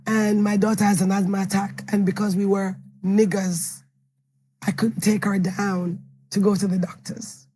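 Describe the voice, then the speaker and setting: A hoarse woman author with a Jamaican accent, telling long, rambling stories and correcting herself as she answers questions. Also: medium pitch, flat delivery, normal speed, mellow. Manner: rambling, self-correcting storytelling. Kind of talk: interview answer at a book event.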